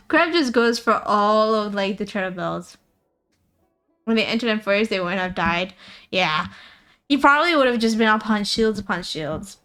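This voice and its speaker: A woman's voice: mid-range voice, a bit bright. A woman live streamer chatting casually about a game, often repeating phrases for emphasis. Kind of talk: casual gaming stream chat.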